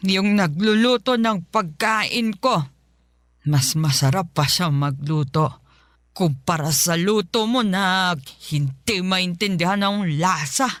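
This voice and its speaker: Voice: mid-range voice, slow, fairly bright tone, no echo, studio quality. An actor playing a bitter, fault-finding elderly mother, bedridden after a stroke, complaining and sighing in a Filipino radio drama. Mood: bitter, stubborn. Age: older.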